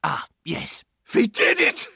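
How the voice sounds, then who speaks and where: A man's voice: slow pace, sharp tone, no room sound, studio quality. A man playing a frantic mad scientist with a bird's head in a video game, theatrical and hysterical. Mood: exasperated. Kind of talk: exaggerated video game character acting.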